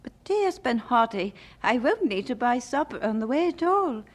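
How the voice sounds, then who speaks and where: A kindly old lady in a religious radio drama. She is genteel, thrifty and given to little exclamations. Her voice is on the high side, with very lively intonation. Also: normal speed. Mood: politely grateful. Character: kindly, pious, thrifty old English widow.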